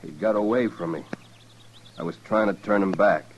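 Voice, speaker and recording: An actor playing a resigned, stoic American cowboy condemned as a horse thief, speaking tersely and plainly in a muffled old radio western. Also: male voice, lowish voice, moderate pace. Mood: protesting. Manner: terse, plain rural speech. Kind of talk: 1950s radio western acting.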